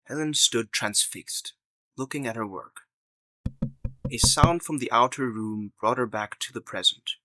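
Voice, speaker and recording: A man's voice: mid-range voice, unhurried, noisy background. A man narrating a scripted story in the third person as the narrator of an audio drama.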